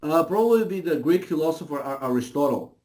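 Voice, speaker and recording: A man with a slightly high voice and a Bulgarian accent speaks as an interview guest. He explains things in a conversational, emphatic way, often repeating phrases and tagging points with a quick check for agreement. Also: lively intonation, darkish tone.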